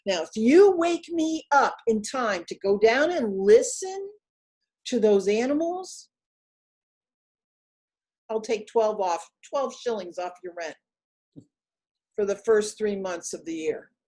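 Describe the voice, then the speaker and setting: A woman's voice: mid-range voice, expressive, slow pace, pauses often, a little mellow. A woman voicing a greedy, scheming younger brother in a folktale, bossy and emphatic. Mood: eager, scheming.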